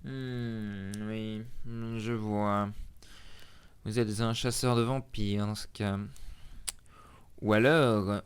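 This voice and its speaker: A man playing a hushed, suspicious old monk. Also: fairly low pitch, steady tone, drawn-out words, long pauses, clean tone. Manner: muttering, hushed, needling and distrustful. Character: suspicious one-eyed monk archivist, man in his fifties.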